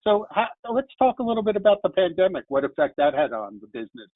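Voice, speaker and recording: High lively man on a tinny line. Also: fast, no echo. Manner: friendly, chatty questions. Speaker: interview host. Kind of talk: casual interview over video call. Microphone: video call. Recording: tinny dry phone-like call audio.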